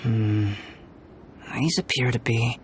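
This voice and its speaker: An actor playing a calm, cerebral young male alchemist in a video game, speaking formally, precisely and with composure. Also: mid-range voice, slow pace, very noisy, close to an everyday voice. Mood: pensive, serious. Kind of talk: video game character acting. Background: background music.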